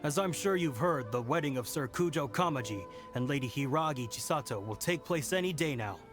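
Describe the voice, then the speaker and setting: A man's voice: mid-range voice, lively intonation, brisk, over music, close to an everyday voice. A man voicing a dutiful male guard in a video game, formal and reassuring. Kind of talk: game voice acting.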